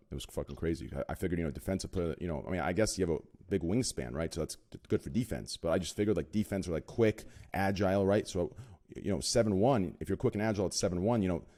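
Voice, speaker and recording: A man's voice: low-pitched, dark tone. A man hosting a podcast, introducing guests with rambling, self-conscious jokes and lots of fillers. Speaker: podcast host. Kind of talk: casual chat, joking guest introductions.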